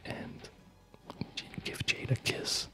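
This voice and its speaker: Whispering man, rambling ASMR gamer. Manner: rambling, halting, self-interrupting. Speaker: ASMR gaming video host. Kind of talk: whispered ASMR rambling commentary over gameplay.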